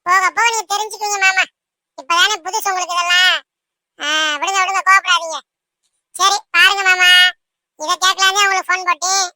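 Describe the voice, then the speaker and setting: A woman's voice: very high-pitched, little pitch movement, a little fast, long pauses, sharp tone, no echo, heavily acted. A woman voicing a caring, gossipy young bride-to-be in a cartoon, chatty and emphatic. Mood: soothing. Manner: chatty, emphatic, repeatedly seeking agreement. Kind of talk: amateur cartoon dialogue in colloquial speech.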